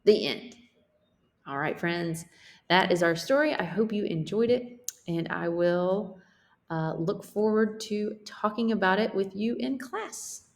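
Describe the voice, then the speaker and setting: A woman teacher reading a children's picture book aloud in a deliberate, expressive way. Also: mid-range voice, gravelly.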